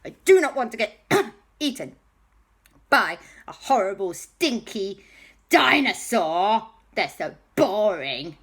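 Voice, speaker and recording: A woman's voice: deep voice, normal speed, breathy edge, exaggerated voice. A woman voicing a haughty, whiny boy villain in a children's story, complaining theatrically. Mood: sulky, disgusted.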